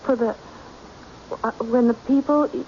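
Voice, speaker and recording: A woman's voice: on the high side, steady tone, a little slow, warm tone, lightly acted. An actress playing a timid, obedient young woman in an old-time radio mystery, with a meek, hesitant way of talking. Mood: nervous, hesitant. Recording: muffled old radio with faint hiss.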